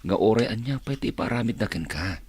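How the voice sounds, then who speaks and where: An actor playing a kind, protective office colleague in an Ilocano radio drama, earnest and reassuring. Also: male voice, lowish voice, lively intonation, fast talker, a little mellow, breathy edge.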